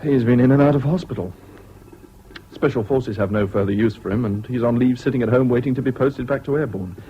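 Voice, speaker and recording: An actor playing a mild, concerned English army officer, polite and gentle, in a 1970s British radio drama. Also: male voice, mid-range voice, brisk, lightly acted. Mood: worried. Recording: dull, noisy old radio recording.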